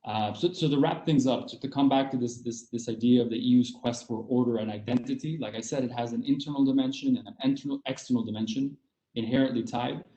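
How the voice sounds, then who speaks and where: A man speaks at a measured pace as a panel speaker, pausing often with fillers and restarting his phrases. His tone is mellow and dark. The sound is dull and lo-fi, with a roomy echo. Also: mid-range voice. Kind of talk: academic panel talk.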